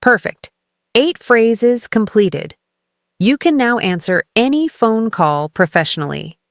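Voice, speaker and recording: A woman leading a scripted English lesson as a teacher, encouraging the learner and clearly enunciating model phrases for repetition. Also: a little high, very animated, slow, no echo, studio quality. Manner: encouraging coaching.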